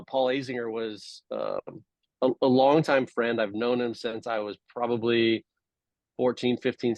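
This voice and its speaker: A man from Hawaii chatting casually as an interview guest, telling his story in a relaxed, halting way. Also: medium pitch, no echo. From Hawaii, United States. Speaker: podcast guest, former tour golfer and coach.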